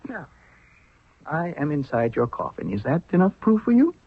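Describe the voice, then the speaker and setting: A man's voice: a little high, very animated, normal speed, vocal fry, husky, no echo, heavily acted. An actor playing a proud young male pharaoh, regal and formal, in a 1970s radio drama. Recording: narrow, tinny 1970s radio recording.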